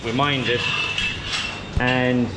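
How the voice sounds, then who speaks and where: A man's voice: mid-range voice, level tone, extremely slow. A man in his twenties with an Irish accent, chatting casually as a dairy farmer filming a vlog while he works. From Ireland. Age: 20s. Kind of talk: casual farm vlog chat while working. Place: large metal-roofed milking parlour. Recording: echoey milking parlour, loud machinery noise.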